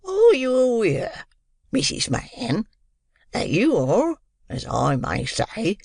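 A man plays a fat, hot-tempered beadle who is full of his own importance and lectures everyone he meets. The voice is gravelly and heavy, and his pitch rises and falls a lot. He speaks slowly and pompously. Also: wildly swooping pitch, a little mellow, dry recording, studio quality, heavily acted. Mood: indignant. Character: pompous, choleric, fat middle-aged male beadle.

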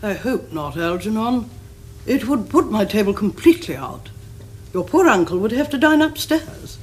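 Casting: older upper-class English Victorian aunt, domineering and pompous, highly animated pitch, period TV comedy. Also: female voice, moderate pace, slightly rough, poor audio. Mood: imperious, disapproving. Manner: imperious, pompous, precise diction. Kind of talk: stage-like period comedy acting.

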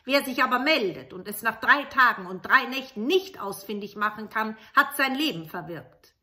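A woman's voice: fairly high pitch, expressive, normal speed, pushed and bright. A middle-aged woman narrating a fairy tale for children expressively, with dramatic shifts in volume. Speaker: storyteller. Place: room in a home.

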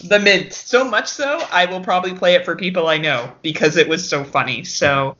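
A woman's voice: lowish voice, normal speed, fairly bright tone. A woman chatting casually as a guest on a podcast. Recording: lo-fi, noisy audio with clicks.

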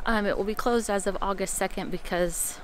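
A woman's voice: medium pitch, fairly even intonation, a little fast, background noise. A woman in her thirties talking to a handheld camera in a casual travel vlog, chatting through plans and practical tips for viewers. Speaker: travel vlogger. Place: in a car and outdoors at castles. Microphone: camera held at arm's length.

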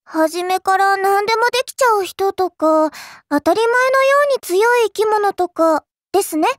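A woman's voice: very high voice, lively intonation, moderate pace, dry recording, studio quality. A woman voicing a childlike, fairy-like game girl, cutesy and polite, with a sweetly teasing, faintly condescending manner. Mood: slyly smug. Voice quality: cutesy, girlish. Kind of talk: Japanese mobile game character voice lines.

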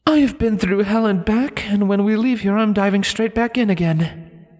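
A man's voice: sits high, normal speed, a little mellow, dry recording, pitched above the performer's own voice, close to an everyday voice. A man voicing a composed, guarded female unicorn who speaks politely and firmly in a story reading.

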